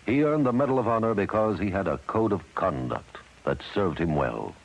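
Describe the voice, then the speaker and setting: Very deep, husky American male narrator. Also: animated, moderate pace, poor audio. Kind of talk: solemn scripted narration. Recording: vintage radio broadcast.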